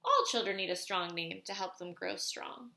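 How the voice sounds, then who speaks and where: A woman voicing a patient, wise old grandfather in a storybook, measured and reassuring. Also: on the low side, gravelly, pushed and bright. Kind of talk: storybook read aloud.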